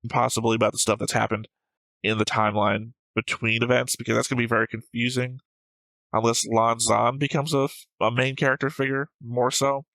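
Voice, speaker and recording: A man's voice: medium pitch, level tone, fairly quick, gravelly, fairly bright tone, no room sound, low-quality recording. A man who hosts a reaction show, talking casually and analytically, explaining and arguing his points. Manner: argumentative, explanatory. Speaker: host of an anime reaction show.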